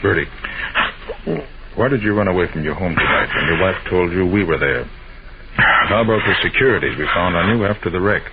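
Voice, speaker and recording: An actor playing a shrewd, confident master detective with an assured, emphatic delivery in an old-time radio drama. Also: male voice, deep voice, animated, normal speed. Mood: pressing, intent. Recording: noisy, muffled old radio broadcast.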